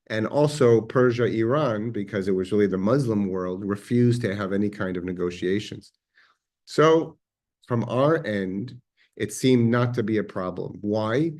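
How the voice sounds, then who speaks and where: A man with an Israeli accent giving a measured, reflective history lecture, pausing now and then with fillers. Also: on the low side, darkish tone. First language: Hebrew.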